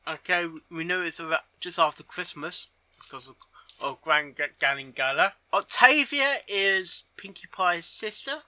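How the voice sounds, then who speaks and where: A man giving casual reaction commentary on a story, halting and often repeating his phrases as he goes. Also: high voice, lively intonation, sharp tone, dry recording. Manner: halting, repeats and rephrases himself. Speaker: reaction video host.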